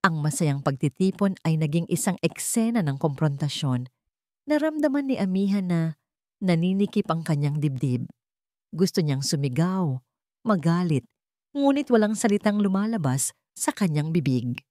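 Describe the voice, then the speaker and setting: Lower-voiced woman narrating a dramatic story. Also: animated, moderate pace, darkish tone, no room sound, studio quality.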